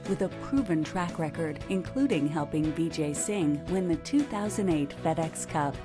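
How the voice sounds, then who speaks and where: Polished woman announcer over music. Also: lowish voice. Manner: polished, measured delivery. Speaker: show announcer. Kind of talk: scripted promo voice-over.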